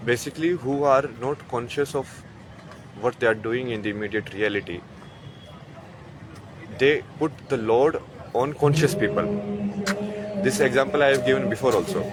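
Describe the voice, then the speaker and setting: A man's voice: medium pitch, normal speed, long pauses, background noise. A man talking in a rambling, casual way, with lots of "like" and "no?". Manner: rambling, uses "like" and "no?" a lot, chuckles. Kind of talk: casual monologue.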